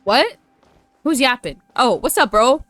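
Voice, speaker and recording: A woman's voice: high-pitched, lively intonation, unhurried, fairly bright tone, faint music behind. A young woman doing casual, slangy game commentary with a playful, teasing manner. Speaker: streamer playing a story game. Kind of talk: casual game commentary, with dialogue read aloud.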